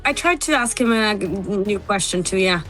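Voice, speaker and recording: A woman's voice: mid-range voice, lively intonation, moderate pace, fairly bright tone, low-quality recording. Guest: woman journalist, candid and chuckling, remote video-call sound, faint music in the background. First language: Norwegian. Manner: candid, laughs between points.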